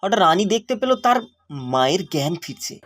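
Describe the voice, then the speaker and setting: A man's voice: sits high, very animated, fast talker, fairly bright tone, no echo, clean studio sound, exaggerated voice. A man narrating a scripted cartoon story.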